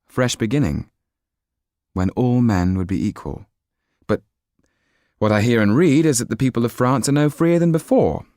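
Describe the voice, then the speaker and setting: A man's voice: mid-range voice, moderate pace, pauses often, a little mellow, no echo, studio quality, pitched above the performer's own voice, close to an everyday voice. A man voicing an earnest, passionate English youth of seventeen in an audiobook story. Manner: earnest, impassioned. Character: idealistic, passionate seventeen-year-old young man.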